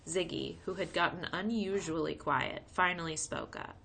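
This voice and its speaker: A woman's voice: fairly low pitch, moderate pace, creaky, a bit bright, a little hiss or hum. A woman narrating a children's book aloud as a read-along for young listeners. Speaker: storybook reader.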